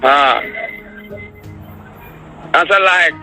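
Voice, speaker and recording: A man's voice: on the high side, slow pace, sharp tone. A middle-aged or older man with a Bangladeshi accent in a casual phone conversation, confused and asking again and again. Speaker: father on a phone call. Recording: phone call recording, faint music behind.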